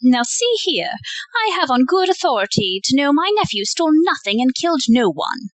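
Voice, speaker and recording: A woman's voice: high voice, big pitch swings, normal speed, no room sound, poor audio. A woman playing a poised, unflappable society lady in an audio play, genteel and wry. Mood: poised, protective.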